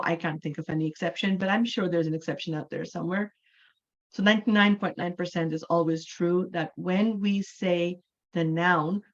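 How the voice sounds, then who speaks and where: A woman in her forties from Canada teaching an English class online. She explains patiently, slowing down and repeating key words for emphasis. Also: on the low side, a bit bright, muffled recording.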